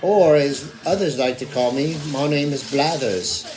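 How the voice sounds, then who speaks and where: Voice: medium pitch, measured pace, music in the background, lightly acted, low-quality recording. An amateur puppeteer voicing a genial owl introducing himself in a puppet show. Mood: genial, self-introducing. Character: genial owl, retired video game character.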